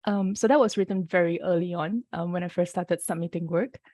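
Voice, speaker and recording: A woman from Singapore reading her poems aloud and briefly introducing each one, sounding a little rough from the early hour. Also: fairly low pitch, darkish tone, no echo, clean studio sound. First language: Mandarin. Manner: measured, unhurried reading with brief spoken introductions. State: slightly off-sounding early-morning voice. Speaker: poet reading her work. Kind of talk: poetry reading.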